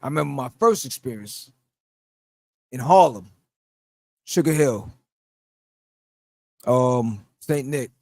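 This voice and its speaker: A man's voice: mid-range voice, lively intonation, takes it slowly, creaky, long pauses, a little mellow, dry recording, studio quality. A middle-aged man with a New York accent, casually telling stories on a podcast and laughing as he goes, acting out the voices he quotes. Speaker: podcast host. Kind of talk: casual storytelling.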